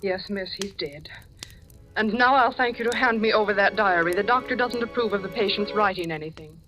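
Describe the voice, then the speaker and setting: An actress playing a stern, brisk nurse in a 1940s radio drama, on an old recording. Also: female voice, mid-range voice, loud music behind the voice, lightly acted, rough recording. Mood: cold, firm.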